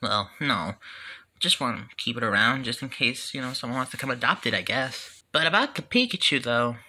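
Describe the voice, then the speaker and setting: A woman plays an easygoing parent with a low voice. The cartoon-story delivery is gentle, casual and a little hesitant. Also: expressive, moderate pace, full character voice. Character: easygoing, soft-hearted parent.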